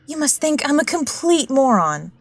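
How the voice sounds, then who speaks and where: Woman playing an anxious, high-voiced naive young lady. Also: big pitch swings, normal speed, no echo. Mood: sheepish, apologetic. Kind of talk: immersive radio drama acting.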